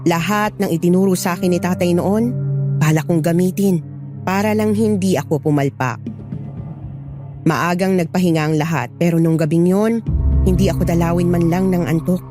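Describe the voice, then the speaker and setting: A woman with a fairly low voice narrates a scripted horror story. Music plays clearly beneath her narration.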